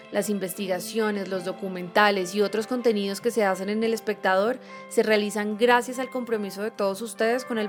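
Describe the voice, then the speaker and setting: A woman with a Colombian accent reading scripted credits as an announcer. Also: mid-range voice. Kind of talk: scripted narration.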